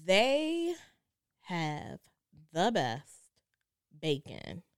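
A woman's voice: on the low side, extremely slow, smooth, no echo. A woman in her early forties co-hosting a podcast, chatting casually and playfully with her close friend. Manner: animated, playful. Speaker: podcast co-host. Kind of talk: casual girl-talk chat between close friends.

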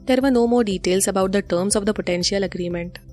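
A woman's voice: medium pitch, fast, a little mellow. A woman with an Indian accent presenting a scripted news bulletin. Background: music.

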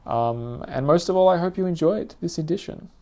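A man reads his own personal essay aloud in a reflective, literary and measured manner. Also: a little high, mellow. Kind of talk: scripted personal essay narration.

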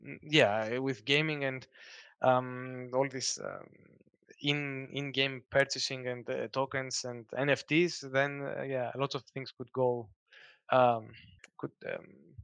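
A man's voice: mid-range voice, fairly even intonation, slow pace, gravelly, fairly bright tone, no room sound. A man in his thirties, a Greek native speaker, answering interview questions in a hesitant way with many fillers and restarts. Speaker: guest, software developer. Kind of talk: casual interview.

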